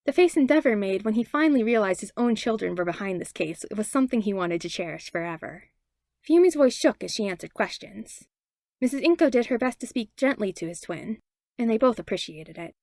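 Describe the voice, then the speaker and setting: Animated woman narrator with a creaky edge. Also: medium pitch, no echo, studio quality. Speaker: podfic narrator. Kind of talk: expressive scripted story narration.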